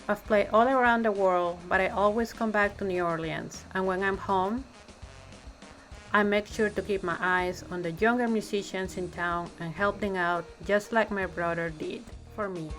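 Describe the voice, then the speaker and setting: Woman doing a picture book read aloud, medium pitch, soft music in back. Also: steady tone, moderate pace, low-quality recording. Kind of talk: storybook read-aloud narration.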